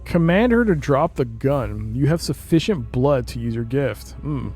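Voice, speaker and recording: A man's voice: mid-range voice, animated, measured pace, darkish tone, faint music behind. A man streaming a game, reading its narration aloud and adding casual commentary.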